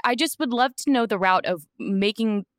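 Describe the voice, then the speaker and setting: A woman's voice: on the high side, moderate pace, dry recording, clean studio sound. A woman hosting a podcast, asking long, rambling questions in casual conversation. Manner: warm, rambling questions with fillers and restarts. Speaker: podcast host. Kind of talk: casual interview chat.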